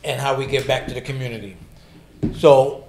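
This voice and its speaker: A man with a mid-range voice co-hosts the podcast, setting up the conversation in relaxed, plain speech. His words carry the roomy echo of the studio. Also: medium pitch, normal speed, gravelly, a little hiss or hum. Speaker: podcast co-host. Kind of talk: interview questions. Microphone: desk boom mic.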